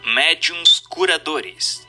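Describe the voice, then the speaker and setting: A man's voice: a little high, expressive, extremely slow. A man reading a scripted narration in a formal, didactic way with careful enunciation. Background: music.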